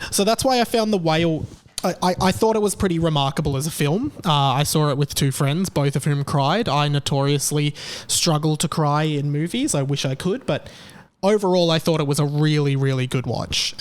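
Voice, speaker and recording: Emphatic Australian man, slightly high voice. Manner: emphatic and opinionated. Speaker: podcast host. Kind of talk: casual opinion monologue.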